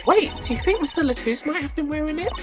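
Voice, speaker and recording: A woman puts on a perky teenage girl for a video game. She is high, bouncy and curious, eager to jump in, with music behind. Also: animated, quick pace.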